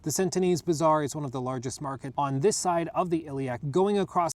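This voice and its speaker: A young American man talks through gaming news in a loose, filler-heavy way, often laughing at himself. His voice sits a bit high for a man, and he slips into a steadier tone when reading from a wiki. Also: fairly quick. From United States. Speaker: podcast host. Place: outdoors on grass.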